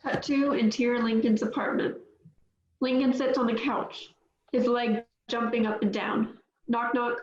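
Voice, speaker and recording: A woman's voice: on the high side, little pitch movement, measured pace, darkish tone, echoey room, highs cut off. A woman reading the stage directions at a script table read in a plain, matter-of-fact way.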